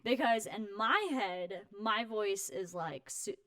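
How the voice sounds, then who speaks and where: A woman's voice: animated, sharp tone, no room sound. Young woman in an interview, super bubbly and chatty, laughs easily, dry close sound. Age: 20s. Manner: bubbly, high-energy, effusive, quick to laugh. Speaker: interview guest, home warranty company representative. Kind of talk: casual chat.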